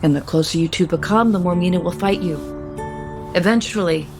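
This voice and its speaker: A woman's voice: on the low side, fairly quick, over music, natural voice. An actress playing a protective, meddling, middle-aged mother of a grown son in a naturalistic audio soap opera. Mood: concerned, insistent.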